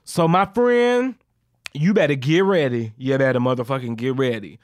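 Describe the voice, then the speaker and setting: A woman co-hosting a podcast, chatting casually in a blunt, profane, animated way. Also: a bass voice, normal speed, dry recording, studio quality. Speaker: podcast co-host. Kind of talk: casual chat.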